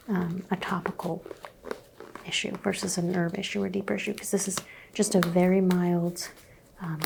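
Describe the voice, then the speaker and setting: A woman whispering gently as a doctor in an ASMR roleplay, guiding a patient through a skin exam. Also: mid-range voice, gravelly, noisy background. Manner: soft whispering, gentle step-by-step instructions. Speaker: ASMR creator playing a doctor.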